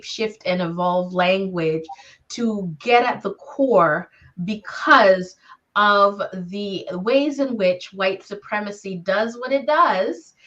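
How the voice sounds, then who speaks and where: A woman speaking as a panelist in a reflective discussion, with a thoughtful, articulate and deliberate manner. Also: mid-range voice, fairly bright tone, dull audio.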